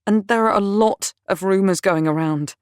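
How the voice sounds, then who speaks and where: A woman's voice: medium pitch, clear, resonant tone, dry recording, studio quality, voice pushed up, natural voice. A woman voicing a patient friend who speaks carefully and holds back her temper. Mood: restrained. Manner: measured, restrained.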